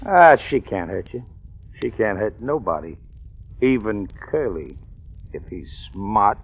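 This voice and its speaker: An American actor playing a mocking, menacing gangster gunman in a 1940s radio crime drama, with a gravelly voice. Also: lowish voice, expressive, slow, heavily acted. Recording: dull old radio recording.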